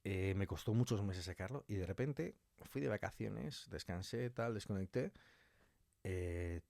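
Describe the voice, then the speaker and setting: Man, low pitch, dry studio sound, casual interview guest, colloquial creative explaining. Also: measured pace, gravelly, a little mellow, dry recording. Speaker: audio fiction writer and director, interview guest.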